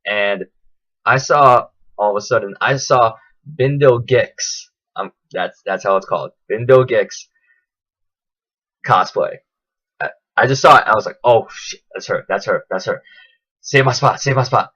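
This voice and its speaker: A man telling a casual, enthusiastic vlog recap in a chatty, animated way. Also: lowish voice, unhurried, pauses often, lo-fi sound. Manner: animated, chatty, acts out quotes in raised voices. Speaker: vlogger.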